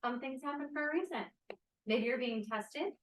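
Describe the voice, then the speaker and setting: A woman's voice: high-pitched, expressive, moderate pace. A woman playing a devout, disapproving young friend in a play table read, earnest and moralizing. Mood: earnest, doubting. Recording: roomy, echoey, distant microphone.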